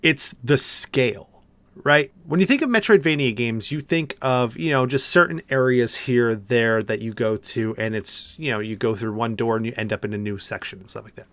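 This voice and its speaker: A man's voice: mid-range voice, moderate pace, fairly bright tone, no echo. A man co-hosting a podcast, chatting casually and enthusiastically about video games in an informal way. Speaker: podcast co-host. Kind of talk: casual video game discussion.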